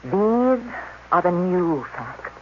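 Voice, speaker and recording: A man's voice: high-pitched, very animated, very slow, full character voice. A man playing a cunning, impassioned scientist in a radio drama, speaking with intense, persuasive insistence. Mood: calculating, insistent. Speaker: actor. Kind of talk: 1980s radio drama acting. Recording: dull, noisy old radio recording.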